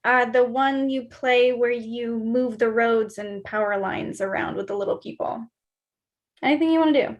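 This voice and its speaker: A woman's voice: fairly high pitch, normal speed, lo-fi sound, natural voice. A woman acting a breezy, coaxing young roommate with casual chatter in a naturalistic stage play reading. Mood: coaxing, upbeat.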